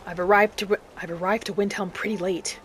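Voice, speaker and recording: A woman voicing an earnest, vengeful young battle mage in a dramatic, deliberate first-person fantasy roleplay. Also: mid-range voice, steady tone, slight background noise, natural voice. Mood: reflective. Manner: dramatic, deliberate, thinking aloud in character.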